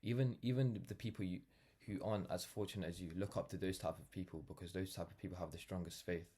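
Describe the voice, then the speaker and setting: A young man from London with a notably low voice. He talks off the cuff in a reflective, earnest monologue. His delivery rambles, leaning on "like" and "you know" as he goes. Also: steady tone, fast, mellow, breathy edge. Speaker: vlogger, brand founder. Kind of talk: casual reflective monologue to camera.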